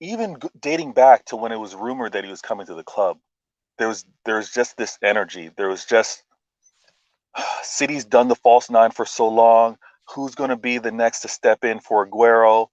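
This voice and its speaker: A man talking football as a stream guest, enthusiastic and casual, often pausing with "um". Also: medium pitch, no room sound, lo-fi sound. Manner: enthusiastic, fills pauses with "um". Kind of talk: casual chat.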